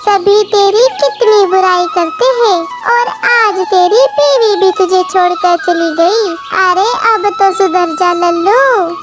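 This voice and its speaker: A blunt crow auntie as a storyteller puts her on for a children's cartoon. Her voice is very high and emphatic, chiding in slow, exclaiming phrases over loud music. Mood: scolding. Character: scolding, blunt crow auntie, exasperated but concerned.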